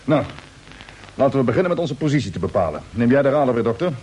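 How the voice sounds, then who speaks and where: An actor playing a decisive, businesslike spaceship commander in a radio drama, brisk and commanding, with a husky voice. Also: male voice, mid-range voice, sing-song, darkish tone, a little hiss or hum, close to an everyday voice, low-quality recording. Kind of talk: Dutch radio drama dialogue.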